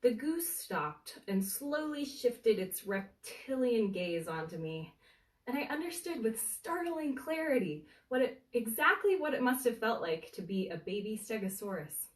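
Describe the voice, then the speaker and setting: A woman reads a humorous story aloud as a storyteller, in a deliberate, dramatic way with suspenseful pauses. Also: fairly high pitch, big pitch swings. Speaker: bookseller storyteller reading aloud. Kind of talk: animated bedtime story reading of a humorous book. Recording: roomy, echoey, distant from the mic.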